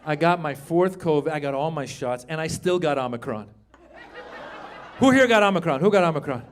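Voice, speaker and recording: Loud, animated man in his fifties doing stand-up. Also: on the high side, brisk, a little hiss or hum. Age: 50s. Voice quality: loud, animated, swears freely. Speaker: stand-up comedian. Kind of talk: stand-up comedy set with crowd work. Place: comedy club stage before a live audience.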